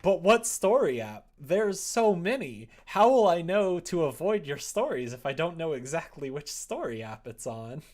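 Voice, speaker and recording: A man's voice: a little high, sing-song, moderate pace, hardly any pauses, a little mellow. A young man streaming, talking casually and playfully in a jokey way as he comments and reads aloud. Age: young adult. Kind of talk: casual livestream chat and reading aloud.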